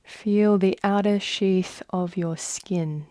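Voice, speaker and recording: A woman leading a guided meditation in a soft, soothing manner, with long unhurried pauses. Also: medium pitch, steady tone, no room sound. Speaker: yoga nidra guide.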